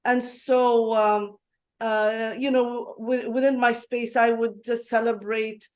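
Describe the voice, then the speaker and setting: A woman speaking as a guest on an online conference call, earnest and halting, with many fillers and restarts. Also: on the high side, level tone. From Yemen. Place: home in Dubai. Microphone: video call.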